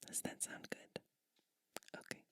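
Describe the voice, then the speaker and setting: Slow whispering ASMR woman. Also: fairly bright tone. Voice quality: whispered, breathy. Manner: soothing, unhurried, attentive, addresses listener directly. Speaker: ASMR creator playing a gentle witch. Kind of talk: whispered ASMR personal-attention roleplay.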